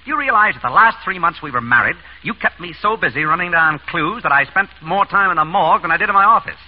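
Suave, wry doctor, old radio. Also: male voice, high voice, quick pace. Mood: fond exasperation. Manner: urbane, wry, deadpan. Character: suave, dryly witty male doctor, exasperated ex-husband. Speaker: radio actor. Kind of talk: 1930s radio comedy-mystery acting. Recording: dull, noisy 1930s radio recording.

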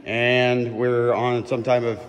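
A man's voice: mid-range voice, completely flat delivery, takes it slowly. A man filming a family trip inside a cave on his phone, joking and chatting playfully with his kids. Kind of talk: casual chat while filming. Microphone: handheld phone camera. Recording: echoey cave reverb.

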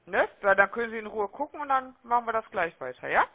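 A man with a notably high voice talks as a call-centre agent on a phone sales call. He is chummy and wordy, tacking small questions onto his sentences. The sound is thin and narrow, like a telephone line. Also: lively intonation, fast. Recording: muffled, narrow-band phone-call audio.

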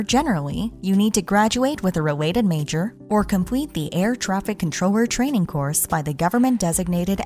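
A woman reading scripted explainer narration in a measured, instructional way. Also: mid-range voice. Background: background music.

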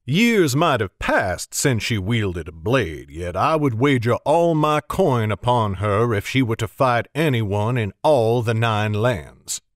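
A man's voice: mid-range voice, sing-song, takes it slowly, hardly any pauses, no echo, studio quality. A man voicing a wry older bowyer who speaks with a smirking drawl. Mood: grave.

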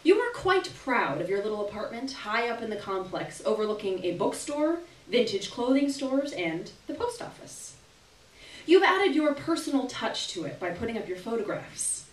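Animated, high-voiced woman reading a story aloud. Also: moderate pace. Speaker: performer reading a short story. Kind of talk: expressive literary reading to a live audience. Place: small indoor gallery reading event. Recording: roomy live venue, distant camera microphone.

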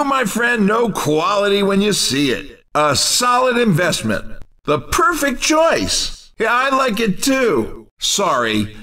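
A man voicing an upbeat, excitable racing video game announcer with a punchy, showy, cheerful delivery. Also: sits high, recorded from a distance, heavily acted. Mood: apologetic. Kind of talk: video game announcing, race commentary and shop greetings.

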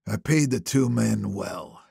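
A man's voice: lowish voice, takes it slowly, vocal fry, mellow, breathy edge, dry recording, clean studio sound, exaggerated voice. A man voicing an anxious, deferential confidant of a boss, speaking carefully and hesitantly in an audiobook. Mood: guarded. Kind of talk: audiobook character dialogue.

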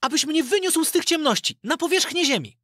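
A man voicing a bold young male fairy-tale hero, calling out in a high, urgent, eager way. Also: animated, fairly quick, pushed and bright, dry recording, clean studio sound, full character voice. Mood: commanding.

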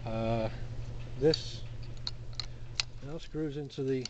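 A man casually explaining a workshop build in a relaxed, plain-spoken way, full of "uh" and "basically", filmed up close on a camera mic at a cluttered bench. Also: mid-range voice, drawn-out words, heavy background noise. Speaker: hobby engineer demonstrating a build.

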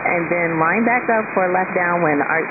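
A man's voice: high-pitched, normal speed. A man working as an air traffic controller, giving firm, procedural and direct instructions over aviation radio. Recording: tinny narrowband radio transmission, music behind. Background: background music.

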